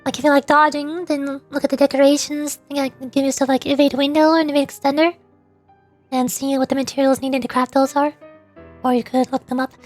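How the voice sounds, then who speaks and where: A woman's voice: sits high, flat delivery, a little fast, faint music behind. A woman livestreamer chatting casually with her viewers in a playful, mock-indignant way. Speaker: VTuber livestreamer.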